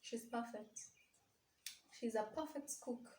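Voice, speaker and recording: A woman's voice: fairly high pitch, takes it slowly, darkish tone, slight background noise. A young woman with a Kenyan accent chatting casually with her sister, giggly and often searching for her words. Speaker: vlogger. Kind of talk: casual sibling tag chat. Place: small bare room indoors. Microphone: camera across the room. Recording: echoey room, distant mic.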